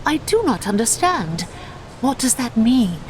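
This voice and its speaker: A woman's voice: fairly high pitch, very animated, measured pace, a little mellow, a little hiss or hum, poor audio. An actress playing a dutiful elf warrior who reports formally to her master. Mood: earnest. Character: young female elf warrior, earnest and dutiful.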